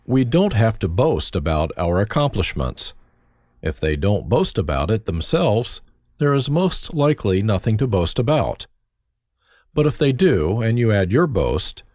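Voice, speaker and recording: A man reads his own life story, his low voice rising and falling with a lot of movement. His humor is dry and self-mocking. The sound is dry and close, with no room around it. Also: sing-song, measured pace, a little mellow, no echo. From Germany. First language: German. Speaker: autobiography narrator. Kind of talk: reflective first-person memoir reading.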